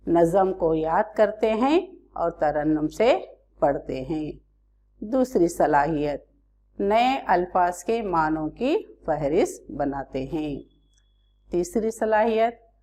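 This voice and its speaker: Slow-speaking woman teacher. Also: lowish voice, a little mellow. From India. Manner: slow, careful teacherly explaining with Q&A to pupils. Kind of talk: online class lesson.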